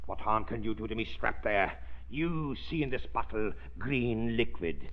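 An actor playing a deranged, gloating mad surgeon with a limp, in theatrical old radio melodrama style. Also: male voice, fairly low pitch, animated, a little slow. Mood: gloating, menacing. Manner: theatrical, gloating, savouring his words. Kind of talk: 1930s radio melodrama acting. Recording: dull, lo-fi old radio recording.

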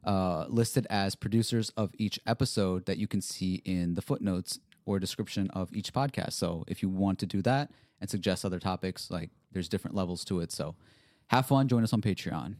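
A man's voice: low-pitched, normal speed, a little mellow, no echo, clean studio sound. A man co-hosting a casual podcast, telling stories and laughing often. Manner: laughs often, tells anecdotes. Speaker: podcast co-host.

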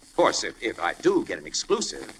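An actor playing a brash, slangy, wisecracking newspaper photographer turned amateur sleuth in a 1940s radio crime drama. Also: male voice, fairly low pitch, wildly swooping pitch, a little fast. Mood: cocky, persuasive. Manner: slangy, breezy, cocky. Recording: noisy old-time radio recording.

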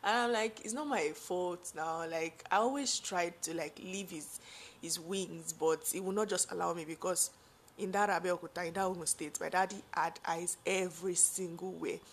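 A young woman with a Nigerian accent and a deep, low-pitched voice talks straight to her viewers. She tells a personal story in a relaxed, rambling way, with lots of fillers and side remarks. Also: moderate pace, slight background noise. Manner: chatty, full of fillers and asides. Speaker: vlogger.

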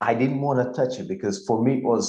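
A middle-aged man from Bulgaria with a Bulgarian accent, telling a personal story deliberately and emphatically as an interview guest. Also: mid-range voice, darkish tone. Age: 40s. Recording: echoey room.

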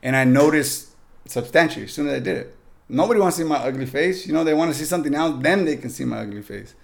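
Guy w a mexican accent, kinda gravelly, joking around n giving youtube tips on a podcast. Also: on the high side, expressive, quick pace. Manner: joking, upbeat, gives tips directly to listeners. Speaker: podcast guest. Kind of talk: casual podcast chat.

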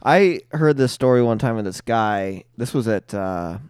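A man's voice: medium pitch, expressive, normal speed, a little mellow, clean tone, no room sound, clean studio sound. A man co-hosting a podcast, telling stories and joking with lots of swearing. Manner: storytelling, joking, swears freely. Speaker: podcast co-host. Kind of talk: crude casual banter. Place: podcast studio.

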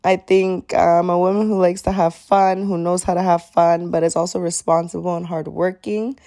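A young woman hosting her own podcast in a sincere, chatty solo talk, her voice a little raspy today, with frequent "um"s. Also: on the low side, level tone, normal speed, mellow. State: slightly raspy voice. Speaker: podcast host.

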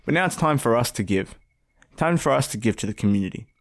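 A man hosting a podcast in loose, joking banter, often breaking into laughter and restarting his sentences. His voice sits at an ordinary middle pitch for a man. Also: animated, quick pace. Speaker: podcast co-host. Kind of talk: casual banter.

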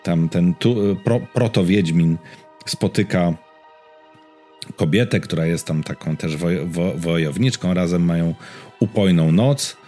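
A man's voice: sits low, unhurried, mellow, faint music behind. A man chatting casually as a podcast co-host about games and series, in an informal, slangy, rambling storytelling way.

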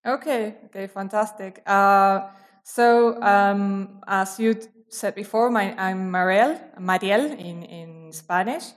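A woman's voice: mid-range voice, slow pace. A woman from Argentina, a guest in a casual interview over a video call, talking with frequent fillers and restarts. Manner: hesitant, many fillers and restarts.

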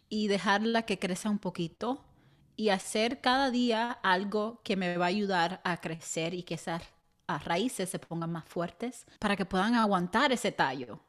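A young woman co-hosting a podcast, speaking in an earnest, reflective way. Her voice sits at a middle pitch, and she breaks into light laughs now and then. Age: young adult. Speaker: podcast co-host. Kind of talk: casual chat.

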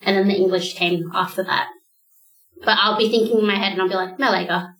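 A woman's voice: medium pitch, fairly even intonation, quick pace, a bit bright. Aussie lady hosting a podcast, chatty and a bit self-mocking, roomy echo. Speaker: podcast host. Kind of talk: casual chat.

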